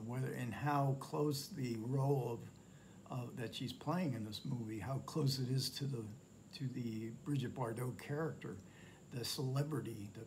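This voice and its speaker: An older man in his sixties talks through a film review from his living room, pausing often with fillers and restarting his sentences. His voice sits at a middle pitch. A faint room hiss sits under the webcam recording. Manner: hesitant, many fillers and restarts. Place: home living room, indoors.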